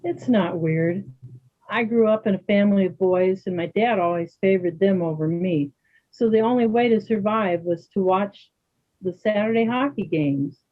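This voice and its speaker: A woman playing a calm, kind, sporty Canadian woman in a readers theater dialogue. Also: mid-range voice, moderate pace, lightly acted. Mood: friendly, matter-of-fact. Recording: thin phone-like sound.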